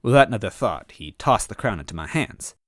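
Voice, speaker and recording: Low-voiced, expressive male story reader. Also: brisk, no room sound, clean studio sound. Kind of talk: dramatic first-person story narration.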